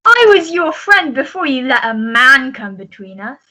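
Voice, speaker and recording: Woman playing a shrill, indignant jilted sweetheart. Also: very animated, normal speed, bright, forceful tone, a little hiss or hum, heavily acted, poor audio. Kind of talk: amateur melodrama radio play acting.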